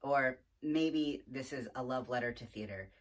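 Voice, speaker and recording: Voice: medium pitch, a little slow. Festival host giving a welcome speech. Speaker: festival artistic director, host. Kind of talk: prepared welcome speech to camera.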